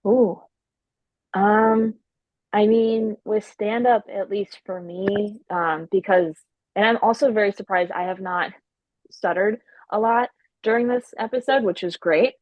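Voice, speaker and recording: A woman's voice: mid-range voice, steady tone, measured pace, dry recording, phone-quality sound. A woman comedian guesting on a podcast, talking casually with a stutter, repeating sounds and restarting words, and swearing freely. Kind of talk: casual chat.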